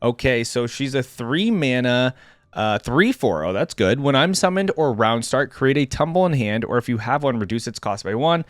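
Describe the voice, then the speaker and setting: A man's voice: mid-range voice, animated, normal speed, no echo, studio quality. A man giving casual reaction commentary as a gaming channel host, chatty and thinking aloud. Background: game theme music.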